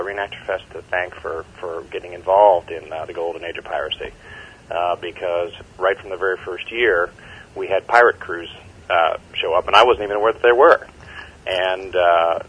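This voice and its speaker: Deep male voice, enthusiastic event guest, muffled lo-fi phone recording. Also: fairly even intonation, moderate pace, slightly rough. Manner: rambling, many fillers, enthusiastic. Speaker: event organizer, interview guest. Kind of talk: phone interview.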